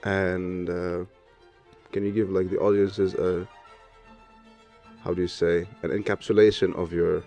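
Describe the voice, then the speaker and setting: A man's voice: low-pitched, flat delivery, a little slow, mellow, soft background music. A young man from Lebanon hosting a podcast, asking thoughtful questions with many hesitations. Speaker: podcast host. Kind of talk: interview questions. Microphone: studio mic on boom arm.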